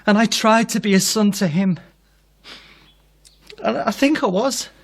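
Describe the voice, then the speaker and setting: Radio drama role: impostor son, earnest, needy, guilt-laden, confessional delivery. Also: male voice, high voice, unhurried. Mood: wistful confession.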